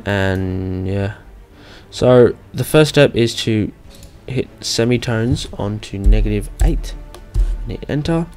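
A young man giving an informal, slightly hesitant step-by-step software walkthrough as a tutorial host. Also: fairly low pitch, expressive, slow, faint music behind. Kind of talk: casual software screen-recording walkthrough.

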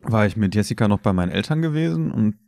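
A man's voice: lowish voice, expressive, fast talker, vocal fry, a little mellow, dry recording, studio quality. A man in casual podcast chat as co-host, talking in a self-mocking way.

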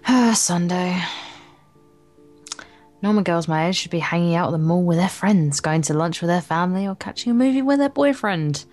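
A woman's voice: fairly low pitch, moderate pace, a little mellow, soft background music, natural voice. A woman voicing a bitter, lonely teenage schoolgirl from a dating game, guarded and dramatic in her inner monologue. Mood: brooding, weary. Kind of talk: visual novel inner monologue read aloud.

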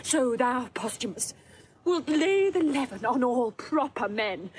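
An anguished young princess speaks in a high voice with declamatory passion. She is proud and wronged, in the manner of classical Shakespearean audio drama, with clear background noise behind her. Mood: desperate, defiant. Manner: impassioned, declamatory.

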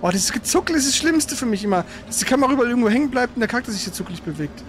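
A high-voiced man comments live on the video game he is playing. He talks casually to a co-player, dropping exclamations, curses and grumbles, with clear noise behind him. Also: expressive, rapid-fire. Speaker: gaming streamer playing and commenting.

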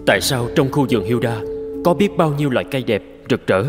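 Music plays under a male actor as a young cartoon carpenter. He is a big, humble, lovelorn fellow who speaks at a middling pitch and broods over every slight. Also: animated, quick pace, close to an everyday voice. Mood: puzzled. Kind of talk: animated fairy tale dubbing. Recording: background music under the dialogue.

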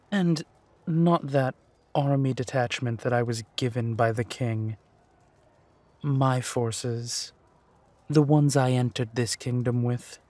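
An actor playing a ruthless, possessive villain duke of low birth in an audio roleplay, with menacing, deliberate phrasing. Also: male voice, darkish tone, dry recording, natural voice. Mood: gloating.

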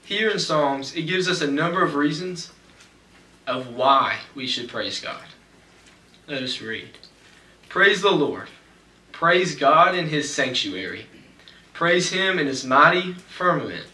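A young man preaching a sermon from a church pulpit, reading scripture slowly and deliberately. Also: fairly high pitch, lively intonation, bright, forceful tone. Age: young adult. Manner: measured, slows for scripture reading. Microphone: pulpit mic, far camera. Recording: echoey church from far off.

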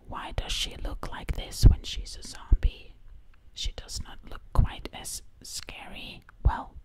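A young woman in a breathy whisper, doing an ASMR roleplay ramble as a quirky zombie princess, close to the mic and repeating words over and over. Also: low-quality recording. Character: quirky zombie princess time-traveler from the 1920s. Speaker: ASMR performer. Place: indoors, living room. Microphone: close microphone, ear to ear.

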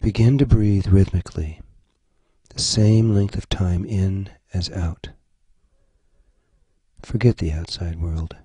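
A man leading a guided meditation, giving gentle, soothing instructions in short phrases. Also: low-pitched, takes it slowly, pauses often, slightly rough, no echo. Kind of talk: slow guided meditation narration.

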